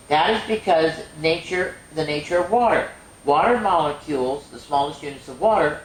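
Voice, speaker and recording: A woman reading a children's science book aloud as a storyteller, explaining to kids with expressive wonder and chatty asides. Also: low voice. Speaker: storyteller and show host. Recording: echoey room with faint noise.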